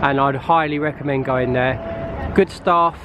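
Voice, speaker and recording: A man's voice: mid-range voice, steady tone, unhurried. A man chatting casually on a travel vlog, outdoors on a city street and recorded on a compact camera mic, rambling and repeating himself a little. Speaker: travel vlogger. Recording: street traffic noise behind.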